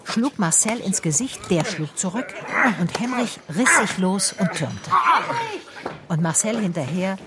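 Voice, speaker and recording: A woman's voice: lowish voice, animated, measured pace, heavy background noise. An actress playing a private detective who narrates her case in a radio drama, composed and probing. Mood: composed, reflective. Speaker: narrator and lead character.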